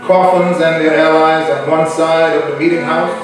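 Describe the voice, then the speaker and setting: A man's slightly high voice narrates a colonial history drama, as an actor in a staged reading. It sounds far from the mic in a very reverberant space, with faint music behind. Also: level tone, moderate pace, natural voice. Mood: storytelling. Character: male narrator of a colonial island history play. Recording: very echoey hall, distant mic.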